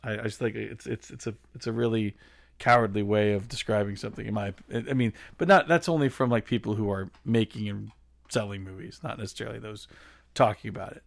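A man's voice: on the low side, fairly even intonation, fairly quick, creaky, no echo. A man co-hosting a podcast, stammering and repeating words as he gives strong opinions on films. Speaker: podcast co-host. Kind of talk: casual film discussion.

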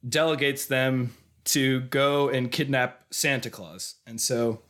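A man's voice: medium pitch, fairly even intonation, slow pace, a bit bright. An American man in his thirties with a cold and a slightly congested voice, co-hosting a casual, jokey, rambling film discussion. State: has a cold, losing voice. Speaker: podcast co-host.

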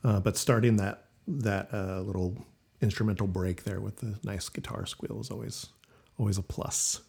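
A man hosting a casual music podcast, talking loosely with lots of fillers and quick agreements. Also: on the low side, fairly even intonation, gravelly, darkish tone, husky. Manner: laid-back, many fillers and quick agreements. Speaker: podcast host.

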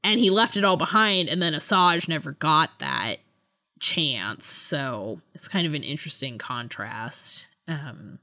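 A woman's voice: sits low, measured pace, sharp tone. A woman hosting a podcast in a casual book discussion, often breaking off and rephrasing her thoughts as she goes. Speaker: podcast host.

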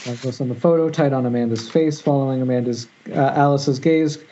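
A man's voice: medium pitch, fairly even intonation, brisk. A man narrating screenplay stage directions at an amateur table read, now and then stumbling and rereading words. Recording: muffled internet call, faint noise.

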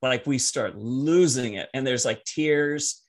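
A man whose first language is French, telling personal stories casually as a podcast guest in a halting, reflective, easily amused way. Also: medium pitch.

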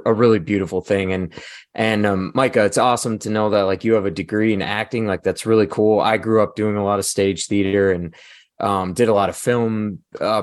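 Low-voiced man, halting and earnest. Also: no room sound, studio quality. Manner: halting, repetitive, earnest and appreciative. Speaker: podcast panelist and amateur actor. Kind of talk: casual roundtable chat.

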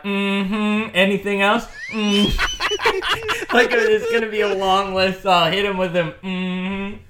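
A man co-hosting a casual podcast, emphatic and playful, leaning on drawn-out "mm-hmm"s. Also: sits high, a bit bright, a little hiss or hum. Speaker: podcast co-host. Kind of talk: casual chat.

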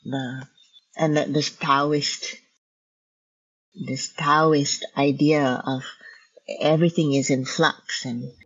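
A choreographer whose first language is Hokkien, speaking in a reflective conversation over a video call, thoughtful and halting, with many restarts. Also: female voice, rumbling low, fairly even intonation, slightly rough. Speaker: choreographer and teacher. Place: home in Vancouver. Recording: dull lo-fi video call audio.

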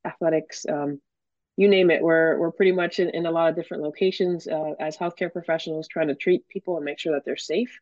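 A woman's voice: low voice, darkish tone, no room sound. Woman professor guest on dull, dry line. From Miami, Florida. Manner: fluent, explanatory, frequent fillers. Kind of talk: interview answers.

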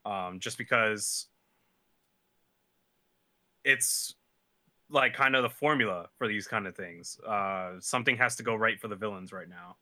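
A man's voice: lowish voice, measured pace, long pauses, pushed and bright. A man giving his opinions in casual chat as a podcast co-host. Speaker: manga discussion podcast co-host.

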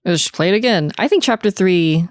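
A woman's voice: lowish voice, lively intonation, a little fast, no echo, clean studio sound. A woman co-hosting a podcast, chatting casually about a video game in informal, slangy language. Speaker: podcast co-host.

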